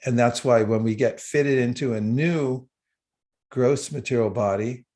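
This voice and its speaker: A man giving a livestreamed spiritual talk with slides, unhurried and halting, with small chuckles. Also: mid-range voice, a little mellow. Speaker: spiritual teacher.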